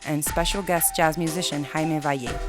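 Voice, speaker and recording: A woman's voice: low-pitched, fairly even intonation, normal speed, over music. A woman reading scripted credits as an announcer.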